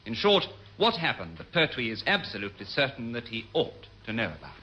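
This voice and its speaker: A man's voice: mid-range voice, big pitch swings, fairly quick, sharp tone, a little hiss or hum, low-quality recording. A British man giving arch, tongue-in-cheek scripted narration in an old radio comedy style.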